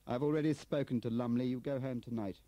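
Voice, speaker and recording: A man's voice: mid-range voice, fairly even intonation, fast talker, no echo, muffled recording, voice pushed up, close to an everyday voice. A man voicing a stern, angry upper-class British senior official in an audiobook, curt and commanding.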